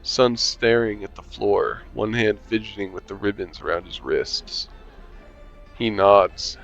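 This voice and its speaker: A man's voice: on the low side, steady tone, measured pace, gravelly, fairly bright tone, dull audio, soft background music. A man reading a visual novel aloud with commentary, making dry, sarcastic asides and swearing casually. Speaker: game streamer.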